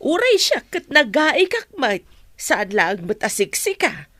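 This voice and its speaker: A woman's voice: high voice, wildly swooping pitch, takes it slowly, fairly bright tone, no echo, full character voice. A woman with an Ilocano accent playing a caring, protective older rural mother in a radio drama. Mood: defensive.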